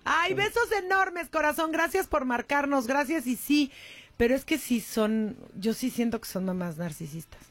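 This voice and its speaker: A woman from Mexico hosting a radio call-in show, chatting in a lively, gossipy way and calling callers "corazón". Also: high voice, moderate pace. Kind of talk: casual radio chat.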